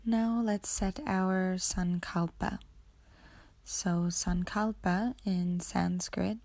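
Woman, guided meditation, very unhurried pace, slightly low pitch, breathy phrase endings, soothing delivery. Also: fairly even intonation, darkish tone, dry recording. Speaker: yoga nidra meditation guide.

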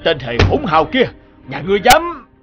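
A man voicing a tyrannical middle-aged king, imperious and scolding, in an animated fairy tale. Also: high voice, a little fast, highs cut off, music in the background. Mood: angry.

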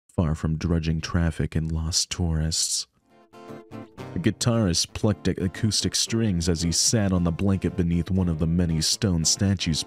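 A man's voice: deep voice, dry recording, clean studio sound. A man narrating a visual novel aloud as scripted story reading. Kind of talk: scripted narration with offhand asides.

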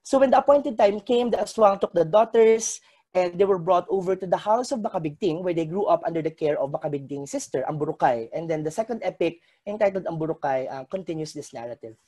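Man lecturing in a mellow voice. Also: sits high, lively intonation. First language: Hiligaynon. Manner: measured, scholarly lecturing with frequent pauses. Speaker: academic presenter. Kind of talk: conference paper talk. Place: indoor room. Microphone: video call webcam.

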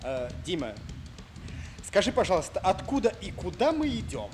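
An actor playing a sensible, wry schoolboy and loyal friend in a Soviet children's radio play, questioning and gently ironic. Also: mid-range voice, very animated, normal speed, soft background music, close to an everyday voice.

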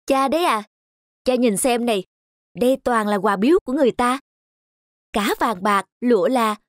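A woman voicing a smug, greedy middle-aged male official in an animated folk tale, boastful and brash. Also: fairly high pitch, normal speed, no echo, clean studio sound, lightly acted.

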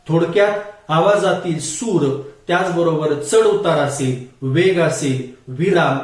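A man giving a formal, explanatory lesson as a public speaking coach, in measured teacher-like phrasing. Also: a little high. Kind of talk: instructional lecture to camera. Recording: very echoey room, distant microphone.

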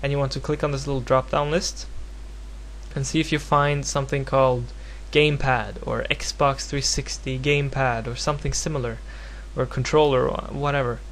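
Male tutorial presenter, Norwegian accent, non-native speaker. Casual, halting, self-deprecating delivery at medium pitch, with humming noise behind. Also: little pitch movement, fairly bright tone. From Norway. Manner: hesitant, rambling, self-deprecating. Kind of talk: casual, unscripted screen-recorded software tutorial. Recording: home recording with clear background noise and hum.

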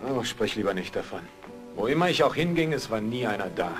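A man's voice: fairly low pitch, expressive, brisk, soft background music, close to an everyday voice. A man playing a wry, discouraged writer husband in a German audio drama, dry and sardonic.

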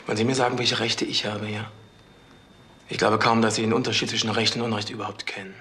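An actor playing an embittered, accusing young son in a German crime radio drama. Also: male voice, fairly low pitch, flat delivery, quick pace, pushed and bright. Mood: scornful.

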